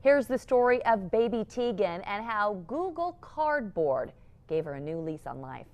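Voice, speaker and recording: A woman's voice: medium pitch, sing-song, measured pace, lo-fi sound. A woman anchoring a TV newscast, reading scripted stories and teases. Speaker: TV news anchor. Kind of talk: scripted news presenting.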